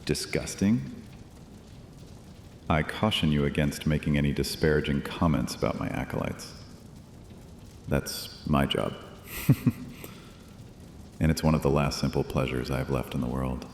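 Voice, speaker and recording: Man acting a very deep, sardonic vampire priest. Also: measured pace, long pauses. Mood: dry, amused. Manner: theatrical, dryly witty, drawling politeness. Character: sardonic, courtly male vampire high priest, menacingly protective. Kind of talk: dramatic cozy horror audio drama. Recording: echoey hall, low rumbling noise.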